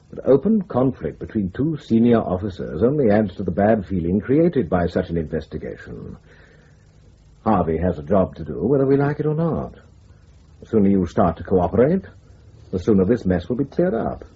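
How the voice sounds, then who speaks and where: An actor playing a measured, authoritative intelligence chief with a husky voice, speaking formally in a radio drama. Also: male voice, low voice, very animated, exaggerated voice. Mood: firm, diplomatic. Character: measured, authoritative senior spymaster, calmly diplomatic superior. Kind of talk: broadcast radio drama acting. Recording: muffled radio drama recording.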